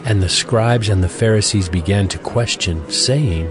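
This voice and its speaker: A man's voice: fairly low pitch, moderate pace. A man narrating a dramatized Bible reading from a script. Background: music.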